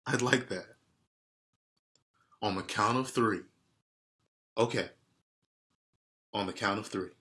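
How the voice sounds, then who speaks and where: A man's voice: on the low side, slow pace, pauses often, sharp tone. A man voicing friendly, eager young schoolgirls in a storybook read-aloud. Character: two friendly young schoolgirls making up over lunch. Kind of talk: storybook character voices.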